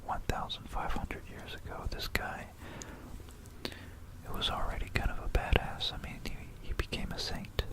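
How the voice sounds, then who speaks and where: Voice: normal speed, poor audio. A person whispering an informal, musing history talk for ASMR, correcting themselves along the way, close to a stereo microphone. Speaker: ASMR video creator. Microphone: close stereo binaural microphone.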